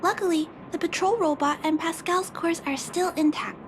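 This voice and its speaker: A woman voicing a kind, polite young girl who gently thinks aloud with questions, in a video game cutscene dub. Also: very high-pitched, normal speed, background noise. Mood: curious. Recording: game music under the voice.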